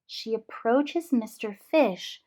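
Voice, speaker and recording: A woman reading a storybook aloud to young children in an expressive, explanatory way. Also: on the high side, takes it slowly. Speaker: read-aloud host for young English learners.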